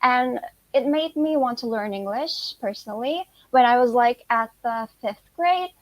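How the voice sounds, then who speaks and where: A woman's voice: a little high, expressive, measured pace, no echo, rough recording. A sixteen-year-old girl whose first language is Turkish, answering interview questions casually and filling her speech with "like" and "you know". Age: teens. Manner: chatty, lots of "like" and "you know". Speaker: guest, high school student.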